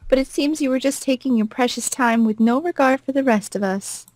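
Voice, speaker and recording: A woman's voice: high voice, fairly quick, darkish tone, no echo, lightly acted. A woman voicing a cold, haughty elder stepsister in a visual novel, condescending and disdainful. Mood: scornful. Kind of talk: acted reading of visual novel dialogue.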